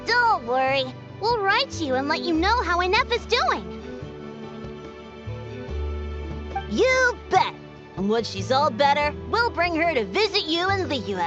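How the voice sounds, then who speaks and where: A spirited village child in a video game. The voice is high and bouncy, full of eager confidence and animated swings. The audio is a little dull, with faint music behind. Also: female voice, normal speed. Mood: upbeat.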